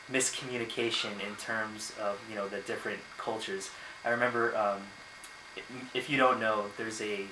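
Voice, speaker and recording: A young man from America talking casually to camera in his bedroom, hesitant and full of ums and uhs. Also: fairly low pitch. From United States. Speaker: vlogger. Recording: echoey room, noisy background.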